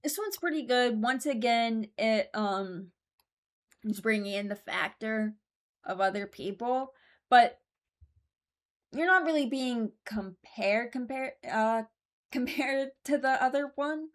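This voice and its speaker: A woman's voice: fairly high pitch, animated, takes it slowly. A woman giving casual, rambling commentary as a host, thinking aloud as she goes. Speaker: host ranking game voice lines.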